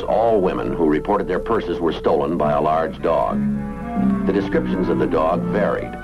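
A police detective narrates in a factual, deadpan radio drama style. His voice is low and sits under loud music. The old recording sounds muffled. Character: matter-of-fact male police detective narrating a case report.

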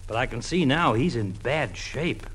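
A man's voice: mid-range voice, animated, a little slow, fairly bright tone. A man playing a kindly, joking family doctor who coaxes a child in an old radio comedy. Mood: mock-grave. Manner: coaxing, playful, mock-grave. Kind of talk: 1940s radio comedy acting. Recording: noisy old radio recording.

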